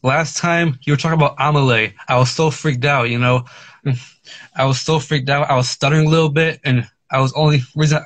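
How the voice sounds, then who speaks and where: A man's voice: fairly high pitch, steady tone, a little fast, fairly bright tone. A man calling in to a chat show, talking with a slight stutter in a hesitant, apologetic way that repeats itself, over a call line that breaks up. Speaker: caller.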